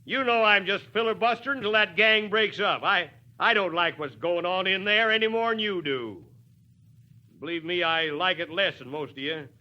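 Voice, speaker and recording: A man's voice: high voice, normal speed, muffled recording, lightly acted. A man playing a plainspoken, stubborn American small-town mayor with folksy, wry oratory, in 1940s radio drama style. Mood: earnest, defiant. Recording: old 1940s radio broadcast.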